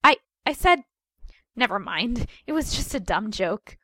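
An earnest young rookie girl, played by a woman narrator speaks in a hesitant, gullible way. Her voice sits fairly high and the sound is dry. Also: sing-song, unhurried, fairly bright tone, no room sound, exaggerated voice. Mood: sheepish.

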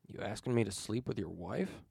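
A man voicing a gruff, suspicious outlaw for an audiobook, blunt and defensive, with incredulous questioning. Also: fairly low pitch, a little fast, a little mellow, no echo, clean studio sound, voice pushed down, full character voice.

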